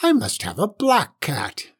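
A woman voicing a bad-tempered, self-pitying old witch in a storybook, shrill and indignant. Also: wildly swooping pitch, takes it slowly, husky, heavily acted. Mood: angry. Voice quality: shrill, shrieking. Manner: snorting, indignant outbursts.

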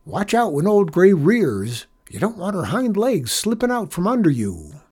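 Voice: medium pitch, big pitch swings, unhurried, a little mellow, breathy edge, voice pushed up. A narrator voicing an exuberant male school janitor who hollers and coaches like a folksy rodeo cowboy in a children's story. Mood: coaching, folksy.

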